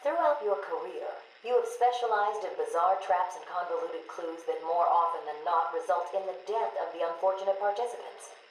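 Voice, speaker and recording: An actress plays a patient, quietly weary female psychiatrist in a video game. Her voice is on the low side, formal and measured. The recording is roomy with a little noise. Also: lightly acted.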